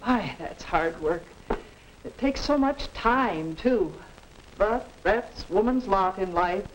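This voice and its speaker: A woman's voice: medium pitch, lively intonation, takes it slowly. A woman playing a busy, dutiful American housewife in a 1950 educational film, stagey, as if talking to herself, on a noisy soundtrack. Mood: weary, resigned.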